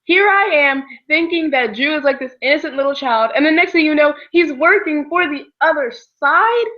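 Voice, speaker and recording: A woman's voice: high voice, lively intonation, normal speed, highs cut off. A young woman co-hosting a casual book chat, telling her reactions informally as little quotes. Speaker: book discussion co-host.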